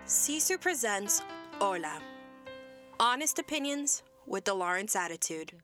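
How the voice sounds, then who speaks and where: A woman's voice: medium pitch, sing-song, a little slow, pushed and bright. A woman announcer reading a scripted promo. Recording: voice over clear music.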